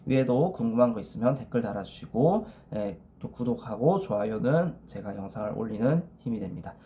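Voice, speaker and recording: A man's voice: medium pitch, lively intonation, fairly quick, dark tone, slight background noise. A young man with a cold, talking through a numbered list of points in a chatty way and often filling gaps with short "yes" sounds. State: has a cold. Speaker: MBTI video creator. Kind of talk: casual explanatory monologue.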